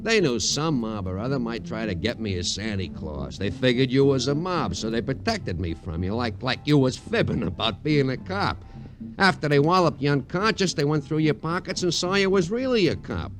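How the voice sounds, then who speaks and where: An actor voices a wheedling small-time crook who fancies himself Santa in an old radio crime drama. His pitch swings up and down as he rambles in folksy street slang, coaxing and breezy, with music around the voice. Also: close to an everyday voice.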